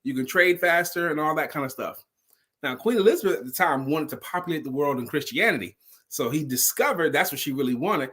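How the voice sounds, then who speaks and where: Higher-pitched man telling a story informally. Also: lively intonation, brisk, fairly bright tone, husky. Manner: conversational, emphatic, repeats key phrases for effect; acts out dialogue in his own retelling. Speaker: storyteller and sales speaker.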